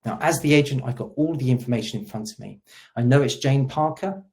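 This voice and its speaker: A man's voice: medium pitch, brisk, darkish tone. A man hosting a webinar, presenting a product demo in an explanatory way and stressing the starts of his sentences. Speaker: webinar host; solution engineer.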